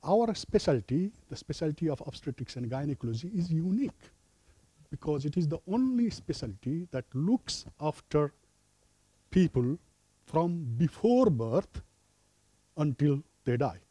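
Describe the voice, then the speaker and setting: A middle-aged man from Nepal delivers a lecture from a lectern in a lecture room. His voice is mellow and relaxed, recorded dry and close. He speaks in a measured, hesitant way, pausing with fillers. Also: on the high side, husky, dry recording. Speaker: professor of obstetrics. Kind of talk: academic lecture.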